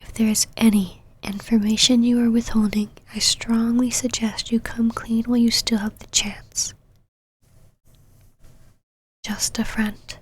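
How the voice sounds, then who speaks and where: A woman's voice: fairly high pitch, level tone, moderate pace, few pauses, husky. A woman playing an imperious, possessive female vampire knight in an ASMR roleplay, whispering condescending endearments and commands. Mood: suspicious, possessive.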